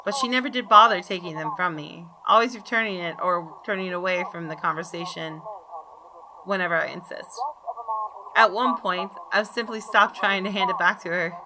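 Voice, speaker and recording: A woman reading visual novel story text aloud in a measured, expressive, deliberate way. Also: on the low side. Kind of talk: dramatic narration of story text. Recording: clearly noisy background.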